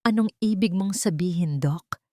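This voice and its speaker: A woman's voice: lowish voice, moderate pace, a little mellow, dry recording, studio quality, close to an everyday voice. A woman voicing a wealthy male CEO who speaks urgently and in a commanding way. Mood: anxious. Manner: urgent and commanding, then anxious. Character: worried wealthy male CEO in his prime.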